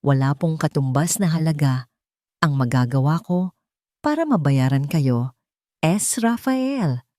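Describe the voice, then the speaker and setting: A woman's voice: sits low, expressive, unhurried, long pauses, dark tone, no echo, clean studio sound. A woman voicing a grateful, humble housemaid mother in a story, deferential and emotional.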